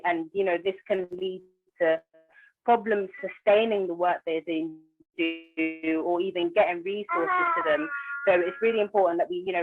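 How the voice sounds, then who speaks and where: Phone-like, lowish-voiced woman in discussion. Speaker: community campaigner, radio guest. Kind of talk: informal radio discussion. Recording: tinny phone-like connection.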